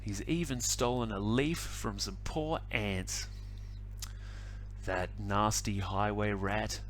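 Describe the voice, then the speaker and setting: A male teacher reading a picture book aloud to children, animated and adding little asides. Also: on the low side, slight background noise. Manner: animated, slow storytelling with asides.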